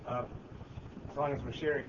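A man's voice: mid-range voice, fairly even intonation, fairly quick. A man who grew up in Michigan, a law professor, telling a wry personal story to a discussion group and acting out others' lines. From Michigan, USA. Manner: wry, laughing, quoting others in dialogue. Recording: distant dull mic with loud noise.

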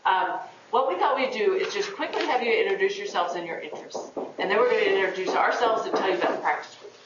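A woman welcoming students to a practice group meeting in a brisk, friendly way. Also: mid-range voice, animated, over a noisy crowd. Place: law school classroom. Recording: echoey room, distant mic, dull and noisy.

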